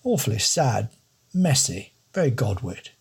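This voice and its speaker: A man's voice: medium pitch, sing-song, measured pace, gravelly, a little mellow, husky, heavily acted. A man voicing a wordy, pompous older English don with a fussy manner. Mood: gossipy.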